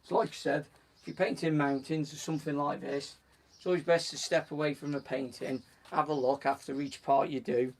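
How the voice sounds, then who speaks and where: A man with a croaky voice casually explaining each step of a painting as he works. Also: fairly high pitch, brisk. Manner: relaxed, talks while working, frequent "so" and "just gonna". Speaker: painting instructor on a live stream. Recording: voice from a distance from the mic, faint background noise.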